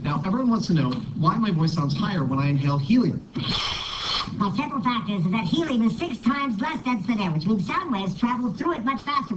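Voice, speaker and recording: Male science presenter, jokey and animated; played back in a noisy room. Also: high voice. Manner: playful, self-mocking. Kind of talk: jokey demonstration. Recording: video played over classroom speakers, muffled, noisy.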